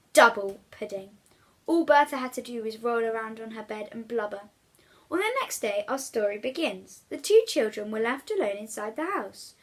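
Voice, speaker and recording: A woman reading a children's story aloud in an expressive storytelling style, as the reader addressing young listeners. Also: fairly high pitch, husky.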